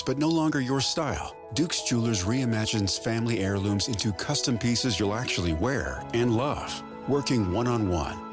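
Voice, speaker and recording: A man reads a sentimental radio ad in a scripted voice-over style. His pitch is average for a man, and prominent music plays behind him. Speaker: advertising voice-over. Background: music bed.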